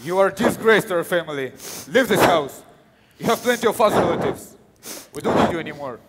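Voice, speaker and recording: A woman's voice: medium pitch, a little fast, in a large hall, voice pushed down, close to an everyday voice, rough recording. A woman voicing a cruel, contemptuous father who disowns his child in a hobby voice drama. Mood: cold. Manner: contemptuous, commanding.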